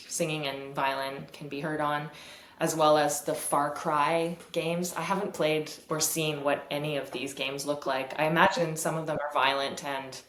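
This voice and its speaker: A woman fiddle teacher with a low voice, answering interview questions in a relaxed way and laughing easily, over a video call. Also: echoey room.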